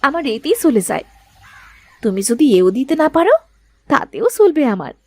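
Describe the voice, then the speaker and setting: A man putting on a squeaky meek cartoon voice. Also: big pitch swings, moderate pace, no echo, full character voice. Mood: pleading. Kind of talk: Bengali cartoon voice acting.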